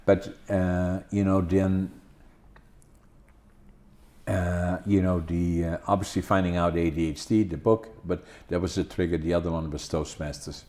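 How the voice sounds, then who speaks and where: An older man in his seventies from the Netherlands, speaking English with a Dutch accent and Dutch as his first language, hosting a podcast in a halting style full of fillers and restarts. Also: sits low, steady tone, mellow. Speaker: podcast host. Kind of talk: casual conversation.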